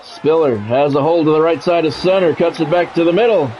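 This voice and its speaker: Higher-pitched man calling football play-by-play. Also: brisk, darkish tone, slight background noise, poor audio. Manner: practised radio-style play-by-play, clipped phrases. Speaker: streamer doing play-by-play of a football video game. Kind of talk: sports commentary mixed with casual chat.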